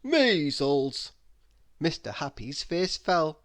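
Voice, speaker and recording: A woman reading a children's story aloud in an expressive narrating style. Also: deep voice, slow pace. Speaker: storybook reader.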